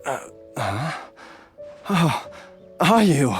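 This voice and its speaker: Gentle ailing dad for a game: slow, halting, apologetic, with lively rises and falls. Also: male voice, on the high side, faint music behind, full character voice. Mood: dazed, apologetic. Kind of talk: video game voice acting.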